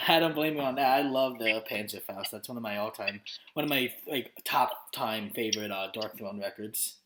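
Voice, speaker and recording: A man's voice: on the low side, moderate pace, noisy background. A man from Romania chatting casually as interviewer, stammering and hesitant with lots of fillers. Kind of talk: casual chat.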